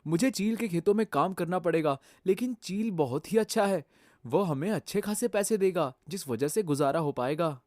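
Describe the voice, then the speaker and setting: A woman voicing a hardworking male sparrow husband in a cartoon who talks in a hurried way. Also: fairly low pitch, animated, mellow, no room sound, studio quality, close to an everyday voice. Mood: hopeful.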